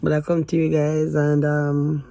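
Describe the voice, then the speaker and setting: A woman in her fifties talking hesitantly to camera in a casual, rambling way, with sighs and trailing pauses. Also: deep voice, monotone, vocal fry, dark tone, dry recording. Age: 50s. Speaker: vlogger. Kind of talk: casual confessional talk to camera.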